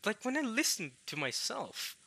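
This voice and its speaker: A young man from Norway, chatting casually to viewers in a halting way, restarting words often with chuckling self-deprecation. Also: high-pitched, sing-song, gravelly, bright, forceful tone, breathy edge. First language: Norwegian. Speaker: visual novel let's player.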